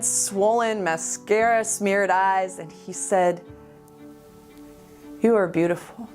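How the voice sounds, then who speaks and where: A woman performing spoken-word slam poetry with deliberate, dramatic phrasing and pauses. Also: medium pitch. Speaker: amateur slam poet. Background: music.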